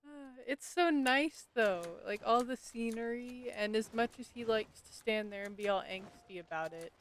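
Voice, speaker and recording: A woman's voice: on the high side, moderate pace, slight background noise. A woman giving casual live gameplay commentary as a streamer, informally thinking aloud. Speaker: game streamer.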